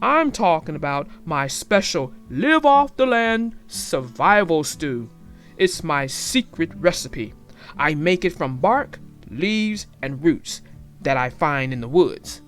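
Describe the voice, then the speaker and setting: A woman's voice: low voice, very animated, slow pace, soft background music, pitched below the performer's own voice, full character voice. A woman voicing a boastful, enthusiastic father bear from a storybook in a grand, hearty, confident manner. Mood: amused, proud. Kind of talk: storybook character voice.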